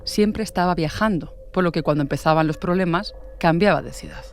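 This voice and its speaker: A man's voice: a little high, a little fast, vocal fry, a little hiss or hum. A man presenting a true-crime case in scripted narration.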